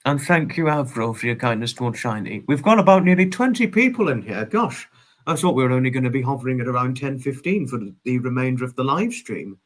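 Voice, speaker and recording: A man's voice: mid-range voice, big pitch swings, brisk. Effusively polite young man hosting a livestream. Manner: effusively polite, wordy, formal phrasing. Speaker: livestream host. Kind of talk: casual livestream chat, reading out and answering viewers' comments.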